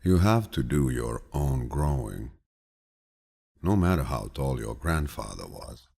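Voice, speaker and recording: A man narrates an audiobook of quotations in an extremely deep, gravelly voice with a husky grain. His delivery is calm and measured, like a grandfather passing on wisdom, and his intonation rises and falls expressively. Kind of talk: scripted audiobook reading of quotations.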